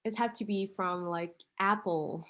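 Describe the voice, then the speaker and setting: Woman, English, hesitant with many fillers, mid pitch, video call. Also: a little slow, fairly bright tone. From Vietnam. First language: Vietnamese. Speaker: international student with a startup idea. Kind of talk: casual conversation.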